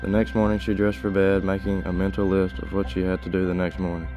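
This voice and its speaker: A man's voice: sits low, little pitch movement, fast, over music, low-quality recording. A teenage male reading scripted story narration.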